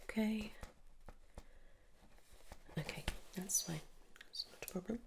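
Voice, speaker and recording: A British woman whispering softly and gently in an ASMR medical roleplay, reassuring and unhurried, close to the mic. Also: medium pitch. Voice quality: breathy whisper and hushed soft voice. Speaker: ASMR performer playing a dental receptionist or dentist.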